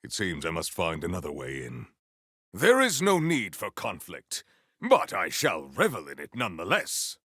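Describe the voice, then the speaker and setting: A male actor voicing a proud, potent warrior in a video game, with formal, grandiose, deadpan delivery. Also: low voice, moderate pace, no room sound, clean studio sound, heavily acted. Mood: stoic, formal. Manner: formal, stilted, grandiose diction. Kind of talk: scripted video game character lines.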